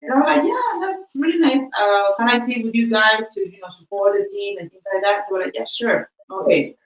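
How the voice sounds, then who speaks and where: A woman's voice: fairly high pitch, lively intonation, fairly quick, roomy sound, recorded from a distance, low-quality recording. A woman whose first language is Spanish, telling a story on a podcast in a chatty way, acting out the quoted exchanges. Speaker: podcast host.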